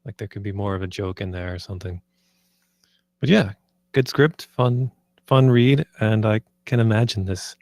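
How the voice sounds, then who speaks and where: A man giving polite, casual feedback, talking in a measured and tentative way. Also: lowish voice, darkish tone, no echo, studio quality. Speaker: voice actor giving script feedback.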